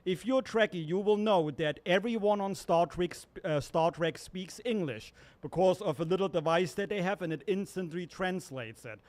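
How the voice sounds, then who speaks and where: German guy doing stand-up at an open mic, fairly high voice, dry wordplay. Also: moderate pace, hardly any pauses. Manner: deadpan wordplay, self-correcting asides. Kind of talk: stand-up comedy set.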